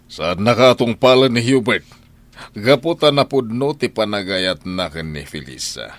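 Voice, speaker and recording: Man plays exasperated older father, very low voice, lively swoops, radio-drama scolding. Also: normal speed, close to an everyday voice. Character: exasperated older father scolding his wife over money.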